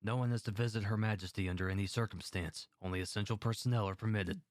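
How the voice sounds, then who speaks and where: A man's voice: lowish voice, fairly even intonation, quick pace, dry recording, studio quality, close to an everyday voice. A man playing a dutiful royal guard in an audio drama, speaking formally and deferentially. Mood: dutiful, apologetic.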